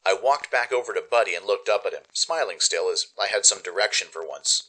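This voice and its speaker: A man giving expressive, dramatic first-person narration for a radio play. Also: low voice, brisk, low-quality recording. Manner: expressive storytelling. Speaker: narrator and producer.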